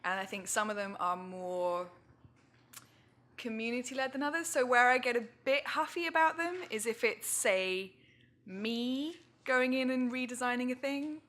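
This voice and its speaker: A woman's voice: on the high side, normal speed. A woman researcher speaking informally and self-deprecatingly as she answers questions after a talk. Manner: informal, self-deprecating, animated slang phrasing. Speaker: guest speaker, museum education researcher. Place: indoor talk venue with audience.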